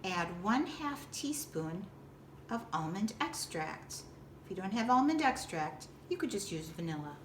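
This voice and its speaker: A woman's voice: mid-range voice, sing-song. Echoey woman giving step-by-step instructions. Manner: deliberate, simple explanatory phrasing. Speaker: librarian giving a baking demonstration. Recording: distant roomy echo with background noise.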